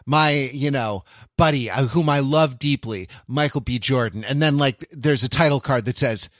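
A man's voice: medium pitch, moderate pace, fairly bright tone, dry recording. Rambling podcast man, dry close mic. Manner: rambling, many restarts, laughing asides. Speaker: podcast co-host. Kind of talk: casual discussion.

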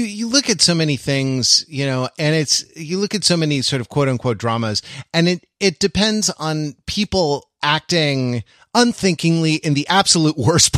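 A man hosting a podcast, talking casually and analytically, halting and digressive as he thinks aloud. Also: on the high side, a bit bright, dry recording, clean studio sound. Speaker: podcast host. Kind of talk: casual analytical discussion of a TV show.

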